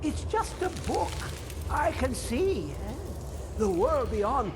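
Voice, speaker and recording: An actor playing a mad old hermit scholar in a fantasy video game, speaking in a dreamy, cryptic, rapturous way. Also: male voice, sing-song, slow, full character voice. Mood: awed. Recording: clear ambient rumbling noise behind voice.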